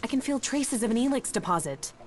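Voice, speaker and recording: A woman's voice: a little high, big pitch swings, brisk, a little hiss or hum. An actress playing an earnest, intense woman seer in a video game, with a searching manner. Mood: eager, intent. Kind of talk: video game cutscene acting.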